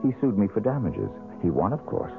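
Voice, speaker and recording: A down-on-his-luck male painter for an old radio play, with a low voice that swings widely in pitch. He is gruff, sullen and bitter, answering curtly. The recording is a narrow, muffled vintage broadcast. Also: sing-song, brisk, faint music behind. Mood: bitter, resigned. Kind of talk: 1970s radio drama acting.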